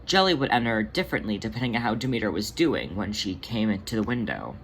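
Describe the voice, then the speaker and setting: Expressive story reader with a lively pitch. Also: quick pace, a little hiss or hum. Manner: expressive, occasionally stumbles over words. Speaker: narrator, fan fiction reader. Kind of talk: scripted story narration, reading aloud.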